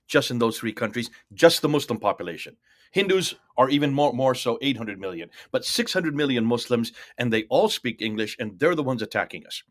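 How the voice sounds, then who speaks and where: A man in his sixties from India speaks as a missionary guest, emphatic and lecturing. He stresses figures and repeats them for effect. His voice is mid-pitched, and the sound is dry and close. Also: a bit bright, no room sound. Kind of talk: testimony in an interview.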